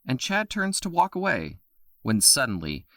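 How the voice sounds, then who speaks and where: A man narrating an audio drama with a steady, scripted storytelling delivery. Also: medium pitch, normal speed, no echo, clean studio sound.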